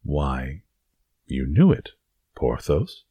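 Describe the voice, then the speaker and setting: A man acts out a mild, witty musketeer from an adventure audiobook. His voice is very low, patient and measured, with understated delivery. It is recorded dry and close. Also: wildly swooping pitch, dark tone, husky, dry recording, heavily acted. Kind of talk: dramatised audiobook reading of a classic adventure novel.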